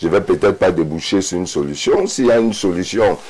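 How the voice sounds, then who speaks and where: A man's voice: sits low, lively intonation, fairly quick, darkish tone, husky. A man, a guest speaking emphatically in a studio debate, often repeating words as he starts. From Cameroon. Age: 50s. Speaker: panel guest.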